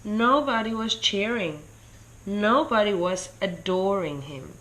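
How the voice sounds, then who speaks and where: Slow-paced woman reading a children's storybook. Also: mid-range voice, low-quality recording. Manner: deliberate, expressive storytelling. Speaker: story reader. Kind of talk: children's storybook read-aloud.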